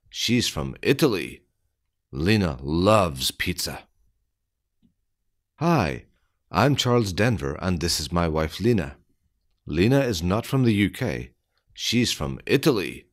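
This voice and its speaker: Very slow, deep-voiced male quiz reader. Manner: deliberate, evenly spaced phrasing for learners. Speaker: English listening quiz narrator. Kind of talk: slow scripted quiz reading.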